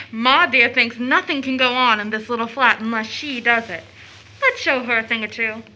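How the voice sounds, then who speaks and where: A woman's voice: on the high side, moderate pace, pushed and bright, poor audio. A woman acting a sweet, spirited, devoted young daughter in an audio drama, girlish and affectionate. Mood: playful.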